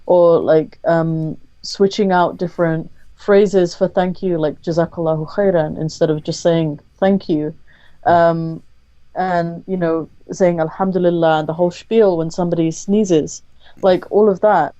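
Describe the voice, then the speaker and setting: A woman guest on a podcast interview, speaking candidly in a thoughtful, measured way with frequent pauses to think. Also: on the low side, steady tone, dark tone, no room sound, highs cut off.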